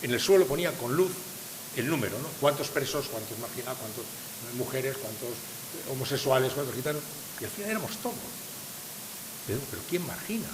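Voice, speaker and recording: A man's voice: mid-range voice, animated, brisk. Older man lecturing in a reverberant hall. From San Sebastián, Spain. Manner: rhetorical, questioning the audience, emphatic. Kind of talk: public lecture to a live audience. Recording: reverberant hall with faint hiss.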